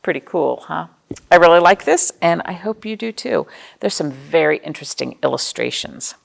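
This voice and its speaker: A woman's voice: deep voice, animated, moderate pace, vocal fry. An American woman hosting a storytime for young children, reading aloud expressively and explaining things simply with questions to her listeners. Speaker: children's librarian, storytime host.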